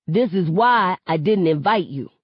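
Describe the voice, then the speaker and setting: An angry young man shouts with hurt in every word, as a woman reads him aloud. The tone is raised and heated, the recording dry and a touch dull. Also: medium pitch, animated, moderate pace, no room sound, pitched above the performer's own voice, natural voice.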